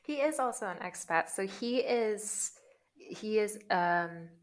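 A woman in her thirties from Canada, a guest chatting casually, animated and full of "like"s. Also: medium pitch, takes it slowly. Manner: chatty and animated, many "like"s, laughs easily. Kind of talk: casual conversation.

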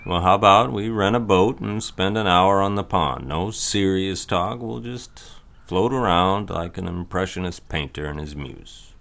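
A man playing a gentle, concerned middle-aged father in an audio drama, speaking in a halting, thoughtful way. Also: low-pitched, gravelly, heavily acted. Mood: conciliatory.

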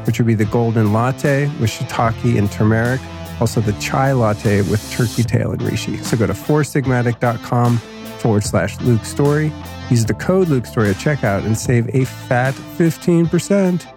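A man's voice: medium pitch, normal speed, music in the background. Laid-back slangy man, a bit nasal. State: slightly nasal from a cold. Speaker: podcast host. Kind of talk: casual podcast intro and ad reads.

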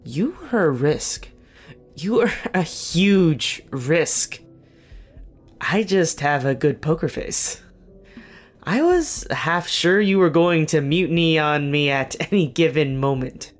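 An actor playing a weary, guarded veteran team leader in an audio drama, speaking earnestly and haltingly with dry wit. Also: mid-range voice, lively intonation, soft background music. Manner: earnest, halting, self-correcting, dryly wry. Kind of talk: naturalistic audio drama dialogue.

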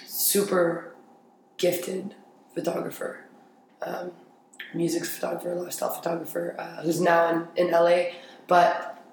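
A young adult from Paris telling stories casually as an interview guest, explaining things informally and often checking for agreement. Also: mid-range voice. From grew up in Paris, France. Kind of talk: casual conversational storytelling. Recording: very echoey room with faint noise.

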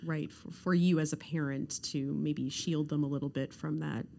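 A woman, hosting an interview podcast and asking gentle questions. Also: low-pitched, steady tone, moderate pace, vocal fry, a little hiss or hum. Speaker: podcast host. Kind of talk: interview hosting, scripted intro.

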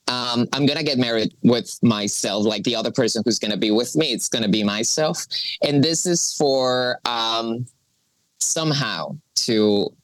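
A woman, a coffee professional talking as an interview guest, halting and searching for her words with frequent restarts. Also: very deep voice, no echo, poor audio. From Colombia. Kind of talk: interview conversation.

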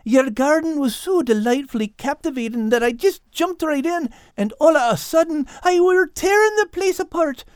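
A man's voice: very high-pitched, expressive, moderate pace, few pauses, dry recording, studio quality, pitched above the performer's own voice. A man voicing a meek, foolish tomcat who pleads and stammers. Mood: remorseful, fearful.

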